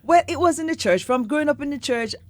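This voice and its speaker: A Jamaican woman singer and poet answering interview questions in relaxed, animated conversation, slipping between patois and standard English. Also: high voice, fast talker. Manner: animated storytelling, mixing patois with standard English, stretching words.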